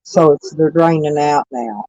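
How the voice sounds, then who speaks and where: A hoarse woman with stuffy sinuses, rambling folksily as she chats with live viewers from her camper van. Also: deep voice, unhurried, a little mellow, no echo, lo-fi sound. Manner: rambling, folksy, self-correcting. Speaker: vlogger chatting with live viewers. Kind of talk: casual morning chat.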